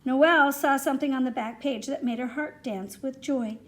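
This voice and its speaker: Woman, high-pitched voice, children's storyteller, expressive read-aloud, animated, addresses young listeners. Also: moderate pace.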